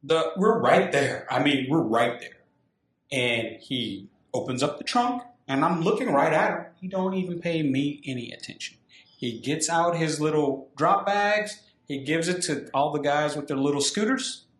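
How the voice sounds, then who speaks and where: A man hosting a podcast, an animated storyteller who repeats phrases for emphasis. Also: a little high, normal speed, reverberant. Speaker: podcast host, former firefighter.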